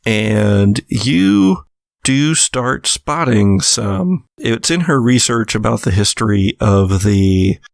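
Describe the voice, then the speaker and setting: A man's voice: lowish voice, lively intonation, slow pace, gravelly, no echo, clean studio sound. A man working as game master, narrating a horror tabletop game in improvised, conversational second person with some teasing.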